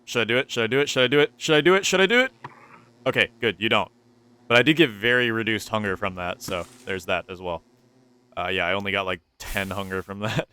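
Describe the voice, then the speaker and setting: A man's voice: mid-range voice, sharp tone, no room sound. A young man with a stuffy, nasal voice, casually commentating his gameplay, thinking aloud and repeating himself. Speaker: gaming YouTuber.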